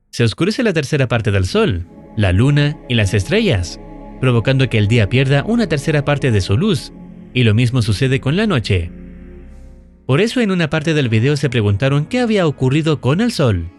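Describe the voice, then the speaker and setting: A man reading scripted narration in a measured, explanatory storytelling way, as in a recap and analysis video. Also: medium pitch. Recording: music under the voice. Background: background music.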